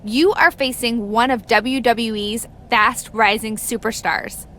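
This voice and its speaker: A woman's voice: on the high side, steady tone, slow pace, a bit bright. A woman playing an upbeat wrestling-show boss in a scripted video game cutscene, with faint noise behind her.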